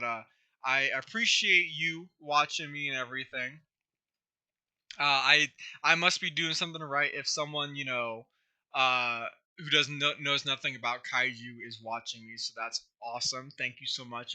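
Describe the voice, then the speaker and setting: Hoarse young man chatting on a livestream. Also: medium pitch, pushed and bright. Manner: rambling, many fillers and restarts. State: hoarse, scratchy throat. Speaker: YouTube creator hosting a livestream. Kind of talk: casual livestream chat answering viewers.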